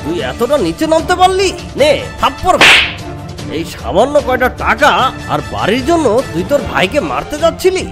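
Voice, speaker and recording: A woman's voice: sits high, sing-song, moderate pace, over loud music. A woman voicing a stern, moralising fox father in a children's cartoon, with emphatic delivery. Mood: outraged, scolding.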